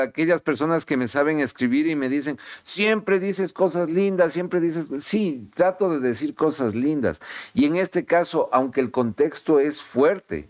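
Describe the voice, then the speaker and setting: A middle-aged man giving a tarot reading to camera in a home study, speaking in an emphatic, repetitive, encouraging pep-talk manner. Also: a little high. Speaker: tarot reader. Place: home study with bookshelves, indoors.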